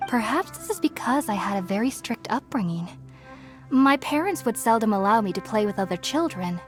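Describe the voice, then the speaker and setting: A woman playing an earnest, gentle young researcher in a video game, explaining things in a thoughtful, articulate way. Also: on the high side, expressive, moderate pace, lightly acted. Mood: earnest, reflective. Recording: music behind the voice.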